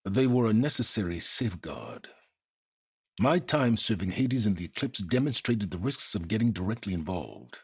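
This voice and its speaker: Casting: calculating older male scholar for a game; very raspy, low, pompous, condescending, expressive delivery. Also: dry recording, studio quality, exaggerated voice. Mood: measured, superior. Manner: pompous, condescending, deliberate, formal wording. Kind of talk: video game dramatic acting.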